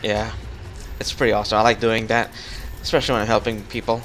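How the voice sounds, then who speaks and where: A young man chatting casually while guiding a friend through a game, relaxed and full of fillers. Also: fairly low pitch, quick pace, slight background noise, poor audio. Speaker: gaming channel host. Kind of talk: casual co-op gameplay chat.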